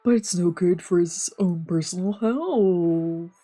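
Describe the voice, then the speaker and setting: A woman voicing a fussy, excitable, sweet-toothed young man in a visual novel, with expressive, scolding and gushing delivery. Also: on the low side, takes it slowly. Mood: concerned. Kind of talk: live dubbing of a visual novel.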